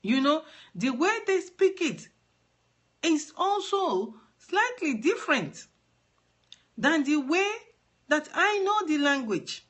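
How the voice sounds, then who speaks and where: A woman's voice: high voice, very animated, slow pace, a bit bright. A Nigerian woman whose first language is Yoruba, giving an earnest live-streamed talk from her phone, explaining at length and repeating words for emphasis. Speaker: advocate for trafficking survivors.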